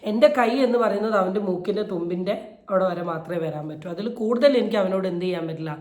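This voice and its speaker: A woman delivers opinion commentary in an indignant, emphatic way. She repeats phrases for stress, her mid-pitched voice ringing in a roomy, echoey space. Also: fast talker, a little mellow. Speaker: YouTube commentator. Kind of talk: opinion commentary on a viral video.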